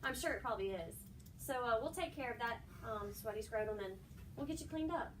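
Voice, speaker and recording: A woman's voice: on the high side, expressive, brisk, a bit bright. A woman acting a patient, placating nurse who coaxes and sets rules, a little hesitantly, in an amateur skit. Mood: gently firm. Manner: coaxing, hesitant. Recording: distant microphone, noisy room.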